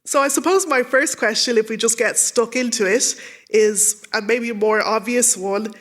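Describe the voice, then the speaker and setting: A woman's voice: on the high side, normal speed. Upbeat young woman moderating a live event. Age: young adult. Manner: upbeat, polished introductions.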